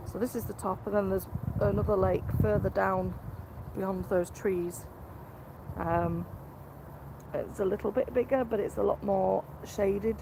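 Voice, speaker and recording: Woman, hoarse, gravelly, quiet casual chat, outdoors, noisy background. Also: mid-range voice. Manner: speaks quietly, greets viewers. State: hoarse from a cold. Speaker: vlog host live-streaming fishing. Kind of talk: casual live-stream chat. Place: outdoors by a fishing lake. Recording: noisy outdoor live-stream audio.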